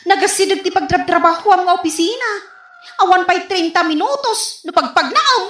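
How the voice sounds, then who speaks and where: A woman's voice: extremely high pitch, animated, moderate pace, fairly bright tone. A woman with an Ilocano accent playing a questioning, teasing housemate in a radio comedy drama. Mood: puzzled, teasing. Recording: echoey room sound.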